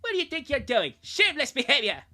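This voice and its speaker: Loud, high-pitched, indignant Canadian park officer put on by a streamer. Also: huge pitch swings, quick pace, heavily acted. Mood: indignant, officious.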